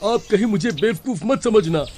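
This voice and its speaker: Man acting a very high-pitched cocky cartoon husband. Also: lively intonation, normal speed, darkish tone, a little hiss or hum. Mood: cocky, demanding. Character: greedy, cocky, dismissive husband.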